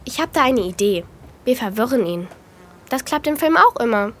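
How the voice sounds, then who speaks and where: A woman's voice: on the high side, very animated, normal speed. A woman playing a bold, bossy and eager young girl detective in a children's audio drama. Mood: eager, daring. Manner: brash, eager, conspiratorial.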